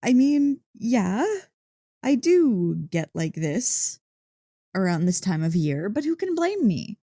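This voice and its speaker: Excitable, mellow-voiced dog-girl played by a woman. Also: medium pitch, slow pace, dry recording, studio quality, exaggerated voice. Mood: playful coaxing. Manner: gushing, teasing, playfully indignant. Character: excitable, playful monster dog-girl girlfriend who adores Halloween. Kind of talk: ASMR roleplay, one-sided conversation.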